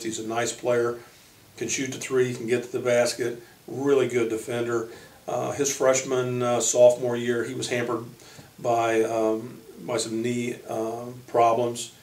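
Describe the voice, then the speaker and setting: A man who coaches college basketball, giving informal player profiles in a halting way, pausing mid-sentence. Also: medium pitch, level tone, a little mellow. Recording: roomy echo, distant microphone.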